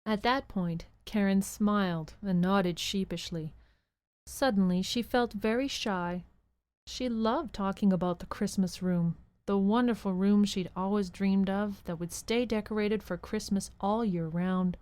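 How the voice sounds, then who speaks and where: A woman narrating an audio drama as a gentle, earnest storybook storyteller. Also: medium pitch, measured pace, clean tone, no echo, lightly acted. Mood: gentle storytelling. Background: music.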